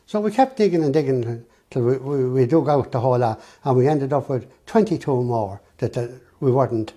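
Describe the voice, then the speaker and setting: Husky older man, halting speech. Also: medium pitch, warm tone. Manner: halting, repeats words. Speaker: interviewee, former gravedigger. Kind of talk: interview testimony. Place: small office indoors.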